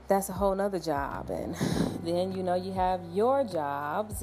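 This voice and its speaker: A woman from Ohio hosting her own podcast at home late at night, talking casually in a soft, smooth voice with lots of fillers and small laughs. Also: medium pitch. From Cincinnati, Ohio. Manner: relaxed, chatty, with frequent fillers and soft laughs. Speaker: podcast host. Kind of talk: casual solo podcast talk.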